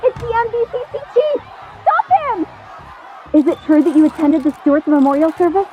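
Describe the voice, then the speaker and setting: A pushy, shouting reporter. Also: female voice, extremely high pitch, sing-song, brisk, phone-quality sound. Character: pushy female reporter in a shouting press scrum.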